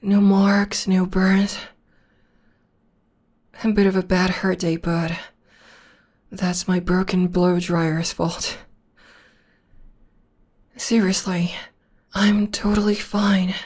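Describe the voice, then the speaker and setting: A woman voicing a devoted young witch girlfriend in an ASMR roleplay, talking in a soft half-whisper close to the mic. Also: mid-range voice, level tone, slow pace, long pauses. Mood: tender, reassuring. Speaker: voice actor.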